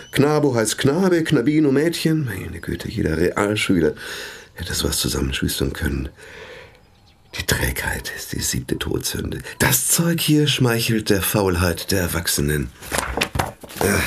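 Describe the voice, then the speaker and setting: A pompous, husky, low-voiced indignant priest. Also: male voice, exaggerated voice. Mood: exasperated. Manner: pompous, declamatory, theatrical. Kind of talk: German radio play acting.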